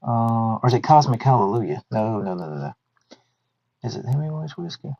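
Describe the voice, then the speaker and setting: A man's voice: medium pitch, moderate pace, gravelly, a little mellow. Man in his thirties hosting a podcast, lo-fi. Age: 30s. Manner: chatty, thinks aloud with fillers and self-corrections. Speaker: podcast host. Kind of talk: casual podcast talk. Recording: dull lo-fi sound.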